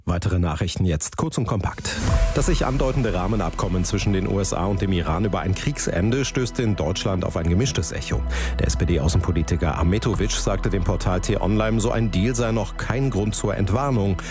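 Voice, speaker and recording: A man with a low voice presents scripted radio news in a standard German accent. He reads formally from a script, with a clear music bed behind him. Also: fairly quick.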